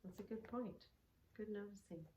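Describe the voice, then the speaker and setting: A woman's voice: medium pitch, moderate pace. A woman guiding a reader in casual chat, encouraging and prompting with questions. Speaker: read-along host guiding the reader. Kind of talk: casual guided reading chat. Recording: roomy sound with loud background noise.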